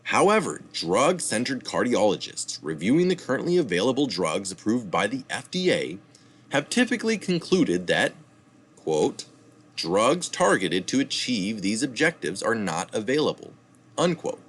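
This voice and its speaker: Man, low voice, very lively pitch, reads an article aloud with small asides. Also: moderate pace. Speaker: reader of health articles.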